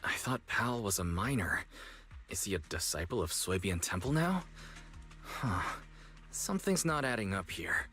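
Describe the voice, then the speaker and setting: A curious, casual guide from a video game. His voice is on the low side yet lively and varied, with light music behind it. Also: moderate pace. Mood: puzzled, curious. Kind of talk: English video game voice acting.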